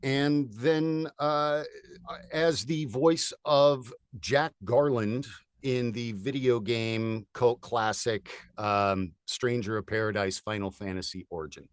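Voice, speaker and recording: A man in his forties from California, a voiceover artist chatting as a guest in an upbeat, energetic way with lots of fillers. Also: medium pitch, takes it slowly, clean tone, dry recording, studio quality. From United States (California). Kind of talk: casual interview chat.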